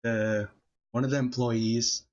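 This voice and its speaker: A man's voice: medium pitch, level tone, takes it slowly. Young male podcast host, laughs through his rambling stories, dull lo-fi sound. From Filipino family, California. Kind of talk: casual storytelling chat.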